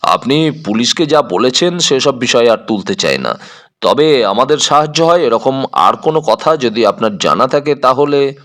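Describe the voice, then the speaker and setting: A man's voice: mid-range voice, very animated, moderate pace, fairly bright tone. A man playing a shrewd private detective in an audio drama, composed and probing as he questions people. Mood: probing, polite.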